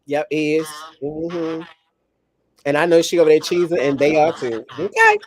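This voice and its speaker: A woman's voice: sits low, very animated, normal speed. A woman in her forties, co-hosting a podcast, talking frankly and emphatically and repeating herself to make a point. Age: 40s. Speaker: podcast co-host. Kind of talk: casual chat.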